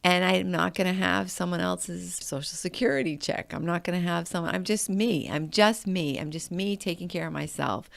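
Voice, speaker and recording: A woman in her late fifties hosts a motivational podcast. She talks in a chatty, conversational way. Her voice sits a little low with a gravelly edge, and it is recorded dry and close. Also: normal speed, hardly any pauses, no room sound. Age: 50s. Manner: chatty and conversational, with frequent "like" and "I mean". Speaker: podcast host.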